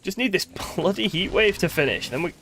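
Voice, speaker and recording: A man's voice: high voice, lively intonation, a little fast, gravelly, a bit bright, a little hiss or hum. A man giving casual live gameplay commentary as a streamer, chatty and thinking aloud, with wry, sweary grumbling. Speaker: game streamer.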